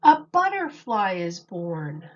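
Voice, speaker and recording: A woman reads a children's picture book aloud like a teacher, taking her time over each phrase. Her medium-pitched voice has a slightly husky edge, and her delivery is expressive and deliberate. The recording sounds a little dull and lo-fi.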